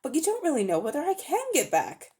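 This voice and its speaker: A woman voicing a brave, hot-tempered schoolboy in a dramatic storybook reading, speaking bluntly and forcefully. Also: sits high, wildly swooping pitch, quick pace, voice pushed up. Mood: anxious, challenging. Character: indignant, brave, outspoken English schoolboy.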